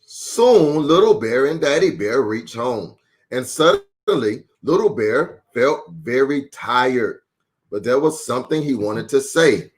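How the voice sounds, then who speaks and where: A man hosting storytime for young children, reading and chatting in a playful, encouraging, deliberate way. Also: medium pitch, very animated. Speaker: children's storytime host, pastor. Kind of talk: animated picture-book reading and chat for young children.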